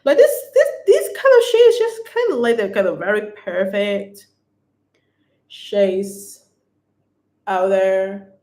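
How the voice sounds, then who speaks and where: A woman with a Thai accent hosting a beauty livestream, chatting casually as she demonstrates products and pitches discounts. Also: sing-song, slow, long pauses, mellow. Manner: chatty, keeps repeating "right here", upbeat sales talk. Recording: echoey room.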